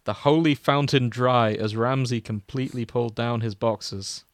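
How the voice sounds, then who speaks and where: A man's voice: mid-range voice, fairly even intonation, moderate pace, dry recording, studio quality. A man hosting a comedy podcast, reading fan fiction aloud as narrator with casual banter, prone to breaking into giggles.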